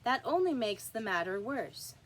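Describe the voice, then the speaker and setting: A woman's voice: on the high side, big pitch swings, normal speed. A woman voicing a grave, self-important king in a storybook reading, with an emphatic, pompous delivery. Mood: insistent.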